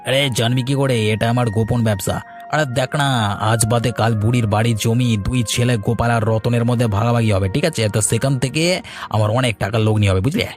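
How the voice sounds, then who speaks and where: A man's voice: medium pitch, moderate pace, soft background music, close to an everyday voice. A man playing a greedy, scheming village uncle in a cartoon, coaxing slyly with hesitations and tag questions. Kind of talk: cartoon voice acting.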